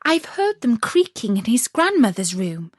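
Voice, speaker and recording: A woman voicing an eager, loyal little girl in a storybook, exclaiming earnestly and excitably. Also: on the high side, unhurried, dry recording, clean studio sound. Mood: earnest, hopeful.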